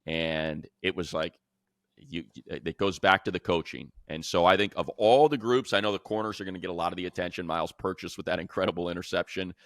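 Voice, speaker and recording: Deep-voiced American man talking sports. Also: a little fast, dry recording, clean studio sound. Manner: emphatic, colloquial, acts out imagined dialogue. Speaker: sports podcast co-host and play-by-play announcer. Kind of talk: unscripted sports analysis.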